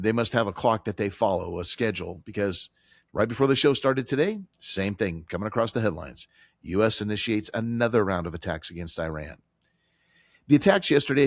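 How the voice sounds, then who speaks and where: Male talk radio host, hoarse with a cold, low voice, dry studio sound, emphatic conversational delivery. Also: no room sound. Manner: emphatic, repetitive, conversational with fillers. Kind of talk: financial talk radio monologue.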